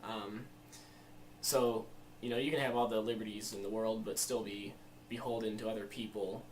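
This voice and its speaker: A young American man with a lowish voice talks through his opinions informally. He rambles and hesitates, thinking as he goes. He sounds set back from the microphone, with faint noise around him. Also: level tone, normal speed. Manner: rambling, hesitant, reflective, peppered with "you know". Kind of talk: informal interview answers. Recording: distant mic, faint room noise.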